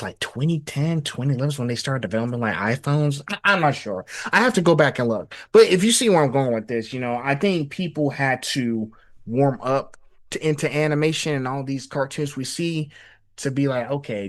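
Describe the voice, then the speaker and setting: A man's voice: medium pitch, a little fast. A man hosting a casual interview podcast, talking in a rambling, enthusiastic way with many fillers. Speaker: podcast host.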